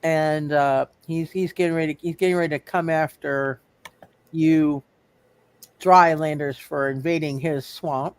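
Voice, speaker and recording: A man running a tabletop roleplay game, halting and often repeating his phrases. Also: a little high, fairly even intonation, clean tone. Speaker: game master.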